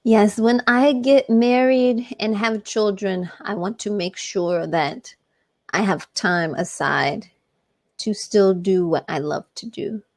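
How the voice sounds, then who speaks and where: A woman from Miami, a singer and artist tutoring on a video call, cheerful and quick to laugh. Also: medium pitch. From Miami, Florida. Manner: cheerful, gushing, laughs often. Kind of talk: casual video-call conversation.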